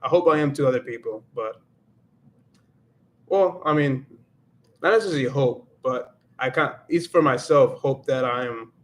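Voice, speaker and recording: A man's voice: medium pitch, normal speed, pauses often. A man hosting a casual livestream, thinking aloud in a reflective way and slipping into Spanish now and then. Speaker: livestream host and Spanish learner.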